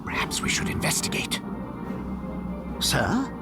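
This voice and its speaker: A man voicing an obedient, slow-witted servant sidekick in an animated game, dutiful and ponderous. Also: lightly acted. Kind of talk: animated game cutscene acting. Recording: loud music behind the voice.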